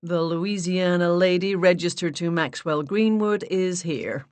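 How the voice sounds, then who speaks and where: A woman voices a polite young man behind a front desk, formal and firm in a low, quiet tone. The reading sounds close and dry. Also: measured pace, smooth, dry recording, close to an everyday voice. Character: polite young male hotel receptionist.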